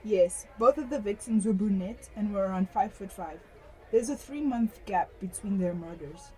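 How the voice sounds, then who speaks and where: A woman's voice: medium pitch, animated, normal speed, mellow, a faint crowd, lightly acted, poor audio. A woman acting a matter-of-fact police detective who takes charge, in a radio drama. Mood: businesslike. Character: businesslike female police detective.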